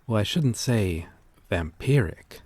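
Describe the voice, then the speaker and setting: A man playing a courteous, melancholy vampire-like creature in an ASMR roleplay, speaking gently and intimately in formal, old-fashioned phrases. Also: lowish voice, animated, takes it slowly, no echo. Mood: hesitant, wistful. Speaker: roleplay voice performer.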